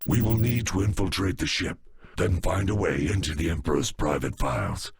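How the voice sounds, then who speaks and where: An actor playing a grim, cautious alien henchman in a video game, terse and measured, with a deep, gravelly, husky voice. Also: dry recording, studio quality, heavily acted.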